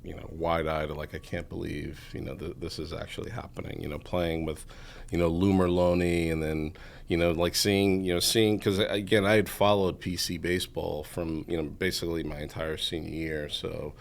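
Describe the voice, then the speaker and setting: A man's voice: low-pitched, little pitch movement, moderate pace, creaky. A man, telling his story as an interview guest in a rambling way, full of "you know" fillers. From Massachusetts. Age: 40s. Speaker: guest, entrepreneur.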